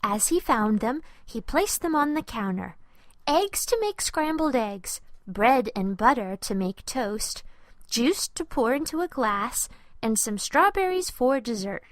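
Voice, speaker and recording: A woman's voice: fairly high pitch, big pitch swings, a little slow, no room sound. A woman reading a children's storybook as a scripted narration, expressively and with careful phrasing for young listeners.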